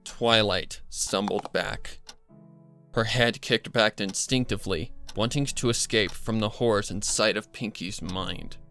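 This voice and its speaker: A man narrating a scripted horror story in a grave, measured, dramatic way. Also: on the low side, fairly bright tone. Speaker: fan fiction narrator. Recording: music bed behind the voice. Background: music.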